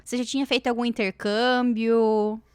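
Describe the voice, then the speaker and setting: A woman's voice: fairly high pitch, level tone, moderate pace, clear, resonant tone, no echo. A woman, hosting a podcast interview in an encouraging way. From Brazil. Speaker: podcast host. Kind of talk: casual interview chat. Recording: dry close sound.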